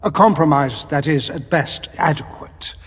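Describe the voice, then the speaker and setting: Casting: imperious alien sorcerer, higher-pitched, cold, contemptuous, formal, long reverb, music underneath. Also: male voice, fairly bright tone, husky, exaggerated voice. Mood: cold contempt. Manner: haughty, measured, formal speech. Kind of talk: audio drama acting.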